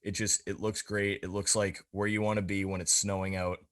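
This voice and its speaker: A man's voice: sits low, little pitch movement, a little fast, fairly bright tone. A man co-hosting a podcast, talking in a casual, rambling, informal way. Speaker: podcast co-host.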